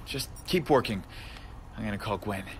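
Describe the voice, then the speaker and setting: A man's voice: lowish voice, moderate pace, noisy background, natural voice. An actor playing a quippy, earnest young male superhero with a hesitant, wry delivery in a video game. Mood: urgent.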